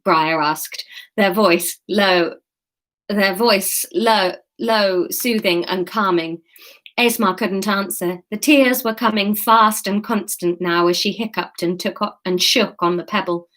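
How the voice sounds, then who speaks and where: A woman's voice: medium pitch, takes it slowly, a bit bright. A woman from the UK chatting casually on a livestream, rambling and joking about herself, laughing often, then reading her own story aloud.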